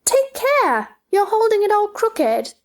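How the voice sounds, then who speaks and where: A woman's voice: very high voice, sing-song, slow, full character voice. A woman playing a polite, puzzled, sensible young girl in a theatrical children's storybook drama, earnest and questioning. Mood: alarmed.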